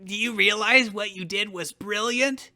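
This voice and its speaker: A man's voice: high voice, a little slow, pushed and bright, full character voice. A man voicing a proud, bitter, vengeful hero in a scornful, declamatory way for a dramatic fan fiction reading. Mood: incredulous, scornful.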